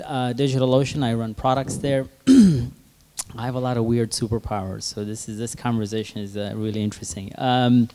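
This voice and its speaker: A man from Tanzania telling casual anecdotes as a panelist, halting and amused at himself. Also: mid-range voice, darkish tone. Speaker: product head on a panel.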